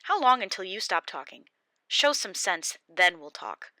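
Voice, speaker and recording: A woman's voice: medium pitch, very animated, a little slow, pushed and bright, no room sound, clean studio sound. A woman playing Bildad, a blunt scornful accuser. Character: scolding male friend Bildad, blunt and contemptuous.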